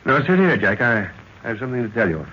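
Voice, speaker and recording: Fatherly professor-explorer, low husky voice, formal, earnest, vintage radio drama, muffled sound. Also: sing-song, quick pace. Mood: fatherly, intent. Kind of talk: old-time radio drama acting. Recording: dull vintage radio recording.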